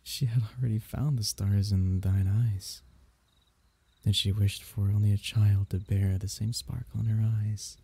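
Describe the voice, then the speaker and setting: A man voicing a gentle, loving royal diviner, telling a bedtime myth as ASMR in a tender, archaic, unhurried, husky way. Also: on the low side, fairly even intonation, full character voice. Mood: tender, solemn. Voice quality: husky, gravelly. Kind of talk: ASMR bedtime story roleplay.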